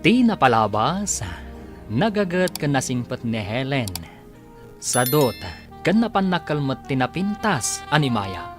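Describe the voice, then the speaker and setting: Animated male radio drama announcer over music. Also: mid-range voice, unhurried. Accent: Filipino. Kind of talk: dramatic scripted radio narration. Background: prominent instrumental music.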